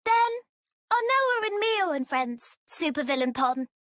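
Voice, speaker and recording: A woman's voice: very high voice, very animated, unhurried, fairly bright tone, heavily acted. A woman voicing a small, naive, cute game creature who talks in childlike broken grammar. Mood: inquisitive. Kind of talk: video game cutscene voice acting.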